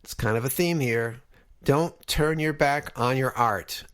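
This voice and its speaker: Guy around 50 from long island hosting a podcast, medium pitch, casual interview talk. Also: unhurried, fairly bright tone. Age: about 50.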